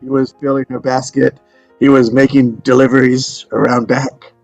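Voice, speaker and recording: A man playing an ailing old father who spins tall tales in a wry, deadpan, unhurried way in a script read. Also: medium pitch, creaky, dry recording, dull audio, soft background music. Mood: deadpan, mischievous. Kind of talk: dramatic script table read.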